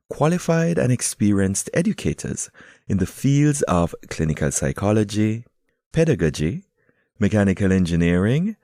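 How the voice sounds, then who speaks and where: A deep-voiced man hosting a podcast, his pitch swinging widely as he talks. He chats playfully with a student, then moves into a polished show introduction. His tone is mellow and the sound is dry and close. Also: dry recording. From Jamaica. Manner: lively, playful, switches between English and German. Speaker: podcast host and English teacher.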